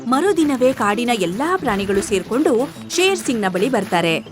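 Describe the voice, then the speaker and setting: A woman narrating an animal story in an animated storytelling style. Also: fairly high pitch, normal speed, over music.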